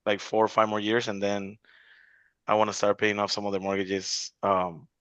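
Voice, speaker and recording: A man in his forties talks in a casual, meandering way, circling back with restarts and filler words. His voice sits on the lower side. The video-call audio is dry and slightly dull. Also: flat delivery, moderate pace, fairly bright tone, clear, resonant tone, no echo. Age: 40s. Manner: rambling, many restarts and "you know" fillers. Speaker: real estate investor in an accountability group.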